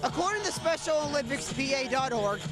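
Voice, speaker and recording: A man's voice: extremely high pitch, animated, brisk, over music. Young American man, announcer-style reporter. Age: young adult. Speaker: student sports and news reporter, interviewer. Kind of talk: presentational broadcast reporting and interviewing.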